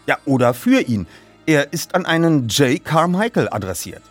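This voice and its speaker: Man reading as a formal teenage detective, lively. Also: on the high side, normal speed, faint music behind. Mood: matter-of-fact. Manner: formal, precise, articulate. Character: polite, self-assured teenage boy detective.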